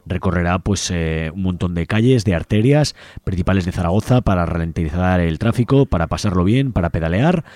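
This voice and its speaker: A man's voice: sits low, lively intonation, a little fast, darkish tone, dry recording. A man with an accent from Spain presenting an informal activist radio show in a conversational, politically engaged way. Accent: Spanish from Spain. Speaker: radio host.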